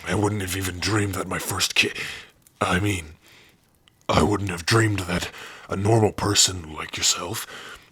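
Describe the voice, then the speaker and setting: A man voicing a big, gruff but tender orc warrior in an ASMR roleplay, shy and devoted, speaking haltingly and intimately close to the mic. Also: dry recording, heavily acted. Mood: wondering, vulnerable. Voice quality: husky, breathy low voice. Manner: slow, halting, softly intimate. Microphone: close dry microphone.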